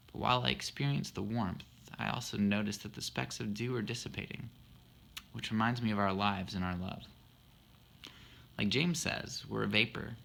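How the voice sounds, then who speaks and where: A man's voice: lowish voice, creaky, a bit bright. A man in his thirties explaining a poem he wrote for his wife, earnest and thoughtful with a self-deprecating humor. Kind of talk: reflective spoken monologue.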